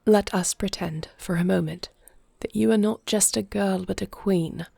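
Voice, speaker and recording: A woman's voice: mid-range voice, steady tone, moderate pace, creaky, mellow, slightly rough, dry recording, clean studio sound. A woman playing a regal, anguished but resolute queen with formal, commanding diction in a fantasy audio roleplay. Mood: troubled, uncertain.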